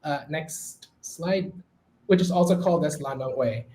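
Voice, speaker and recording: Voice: mid-range voice, measured pace, warm tone. Hesitant speaker. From Manila, Philippines. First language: Philippine Hybrid Hokkien. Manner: hesitant, many filler sounds. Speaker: academic presenter. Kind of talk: academic talk over slides.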